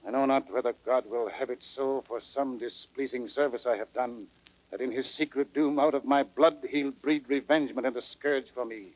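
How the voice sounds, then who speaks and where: A man's voice: medium pitch, steady tone, moderate pace, no echo, lightly acted. An actor playing an older, grave, reproachful king in old radio Shakespeare style, on a dull recording. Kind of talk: 1930s radio Shakespeare acting.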